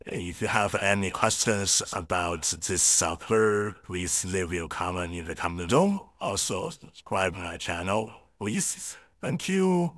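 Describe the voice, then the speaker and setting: Low, slow man through AI voice changer. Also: lively intonation, fairly bright tone, dry recording, studio quality. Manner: hesitant, halting delivery. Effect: AI real-time voice changer. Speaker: YouTuber. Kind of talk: casual software tutorial.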